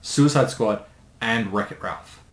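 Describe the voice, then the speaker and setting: A man's voice: on the low side, a little slow. A man chatting casually as a co-commentator, recorded in an echoey room with some faint noise. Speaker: co-commentator and voice actor. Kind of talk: casual chat.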